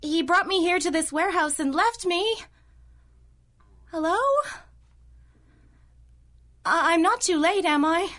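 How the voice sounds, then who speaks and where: A woman's voice: extremely high pitch, slow pace, long pauses, a bit bright, exaggerated voice. A woman playing an anxious, flustered woman seeking a hero's help, stammering and hesitant, in old-time radio adventure style. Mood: nervous, frightened.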